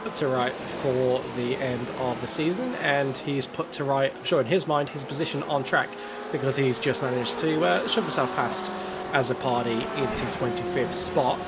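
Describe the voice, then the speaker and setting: Australian man, race commentator, head cold, loud engine noise behind. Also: medium pitch. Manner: measured play-by-play, explains moves. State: has a cold. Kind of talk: live sim racing commentary.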